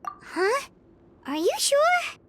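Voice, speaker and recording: A woman voicing a small, feisty, protective childlike fairy companion in an anime video game, squeaky and excitable, with game music behind. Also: drawn-out words, a little hiss or hum, full character voice. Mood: surprised.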